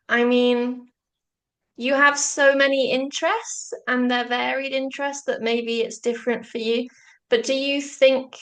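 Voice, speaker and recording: A woman hosts a relaxed interview, asking her questions slowly and chattily. Her voice is a little high, and she often laughs and fills her sentences with "like.". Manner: warm, chatty, lots of "like" and laughter. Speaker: podcast host. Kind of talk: casual interview chat.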